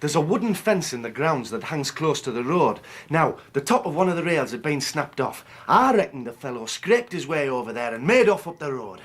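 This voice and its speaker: Hearty English gent, lightish pitch, bouncy delivery, falsely modest and keen to help, period radio play. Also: male voice, big pitch swings, fairly quick, few pauses, slightly rough. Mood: eager, chatty. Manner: chatty, eager, genial. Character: hearty, affable, self-deprecating Victorian English gentleman. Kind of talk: radio drama acting.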